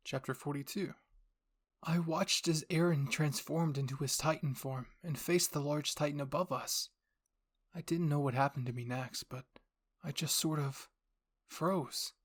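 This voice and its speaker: A narrator reading a first-person fan fiction story in a dramatic, suspenseful way, dropping softer at tense moments. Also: medium pitch, fairly even intonation, unhurried, breathy edge. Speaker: narrator and voice actor of all characters.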